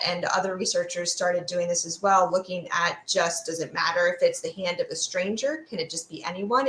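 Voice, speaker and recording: A woman with a fairly low voice speaks as an expert guest in an interview. She explains ideas in a thoughtful, academic and discursive way. The sound is roomy and echoey. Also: fairly bright tone. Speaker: guest expert, fear sociologist.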